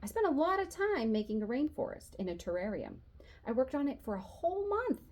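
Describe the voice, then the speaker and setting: A woman reading a children's novel aloud as an expressive storyteller, narrating in the voice of a boy and acting out the dialogue. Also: sits high, a little fast. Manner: animated, acts out dialogue.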